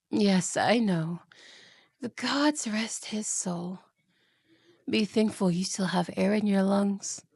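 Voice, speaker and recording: A woman's voice: medium pitch, darkish tone, no room sound, clean studio sound. A woman playing a haughty, cruel evil queen and wicked stepmother, imperious, scolding and condescending, in a fantasy audio roleplay. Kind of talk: fantasy ASMR roleplay acting.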